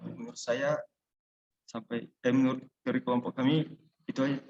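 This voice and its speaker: A man's voice: mid-range voice, flat delivery, brisk, a little mellow, reverberant, long reverb tail, highs cut off. A male student answering in a class debate, hesitant with many fillers, heard over a video call. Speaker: student presenter.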